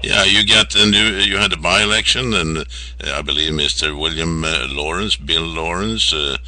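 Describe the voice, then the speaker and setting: Very deep-voiced male radio host, halting. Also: pushed and bright, rough recording. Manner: halting, rambling and polite. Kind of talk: informal radio interview.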